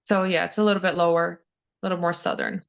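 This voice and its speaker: A woman's voice: fairly low pitch, fairly even intonation, fast talker, creaky. A woman from Ukraine answering interview questions, hesitant, with many fillers and restarts. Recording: dull lo-fi audio.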